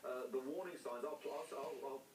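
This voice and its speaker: A man's voice: mid-range voice, normal speed, echoey room, recorded from a distance, a little hiss or hum. A man with a New Zealand accent interviewing in an informal, jokey way as a breakfast television host. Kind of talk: conversational broadcast interview.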